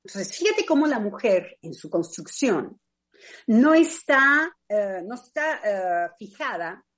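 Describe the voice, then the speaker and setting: Animated Mexican woman, reflective and personal. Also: medium pitch, slow pace. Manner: thoughtful, personal, with frequent hesitations. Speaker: psychoanalyst on a radio panel. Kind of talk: reflective radio discussion.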